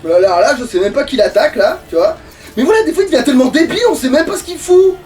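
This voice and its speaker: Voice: high-pitched, animated, quick pace, darkish tone, recorded from a distance, soft background music. A gamer giving casual, sweary gameplay commentary in an exasperated, grumbling manner. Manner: exasperated, foul-mouthed grumbling. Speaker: let's play gamer.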